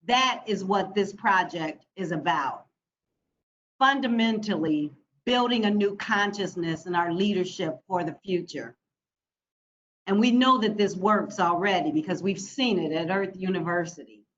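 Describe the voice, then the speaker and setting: A woman's voice: fairly low pitch, fairly bright tone. American woman giving speech, dull noisy audio. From United States (Michigan). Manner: deliberate, emphatic, with rhetorical repetition. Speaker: speaker from a funding organization. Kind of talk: prepared formal speech. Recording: dull lo-fi audio with background noise.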